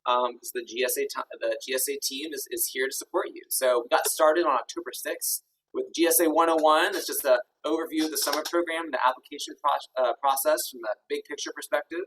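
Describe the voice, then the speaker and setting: A man in his thirties with a somewhat husky voice hosts a virtual info session over a video call. He sounds upbeat and friendly, with plenty of ums and uhs. He is a little distant from the mic. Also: fairly high pitch, fairly even intonation, a little fast, a bit bright. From Kentucky. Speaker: executive director hosting an info session.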